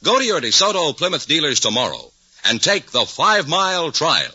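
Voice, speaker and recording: A man's voice: fairly high pitch, wildly swooping pitch, a little fast, bright, forceful tone, breathy edge, no room sound. An American man announcing on 1950s radio with a polished, salesmanlike delivery. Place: radio studio. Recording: dull, dry vintage radio broadcast.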